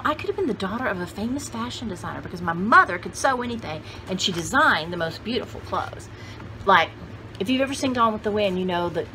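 A woman in her sixties chatting casually to camera, rambling and thinking aloud as she shows things to viewers. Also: lowish voice. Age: 60s. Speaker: vlogger showing vintage paper dolls. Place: indoor room at home with cluttered shelves. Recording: clearly noisy home video sound.

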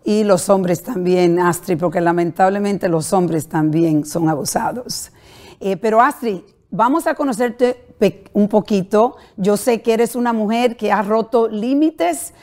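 A middle-aged woman hosting a talk show, speaking deliberately. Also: mid-range voice, a little mellow. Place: talk show studio set.

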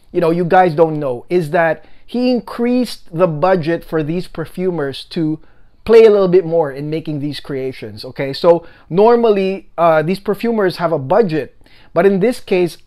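A young man chatting casually to the camera in a perfume review video, animated and talkative, often adding "guys" and "okay?". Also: high-pitched. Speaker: fragrance reviewer. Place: home room, indoors.

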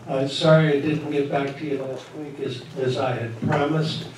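A man's voice: mid-range voice, steady tone, moderate pace, slight background noise. Earnest older man, echoey far hall. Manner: earnest and persuasive. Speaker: meeting attendee. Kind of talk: political appeal at a meeting. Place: large meeting hall indoors. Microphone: recorded from far camera.

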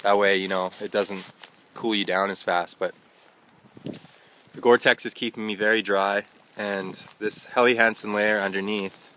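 A man's voice: on the low side, little pitch movement, a little slow. A young man casually narrating a hiking vlog outdoors into a handheld phone. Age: young adult. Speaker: hiking vlogger. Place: outdoors on a wet, windy mountain trail. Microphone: handheld phone at arm's length. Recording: windy, noisy handheld phone recording outdoors. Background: loud wind and rain noise.

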